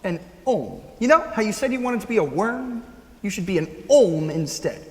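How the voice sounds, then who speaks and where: A man's voice: high voice, big pitch swings, moderate pace. A man playing an awkward, earnest, kindhearted teenage boy in a stage musical, speaking hesitantly and rambling with stammers. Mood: awkward concern. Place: school auditorium staged reading. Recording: echoey auditorium, piano music behind.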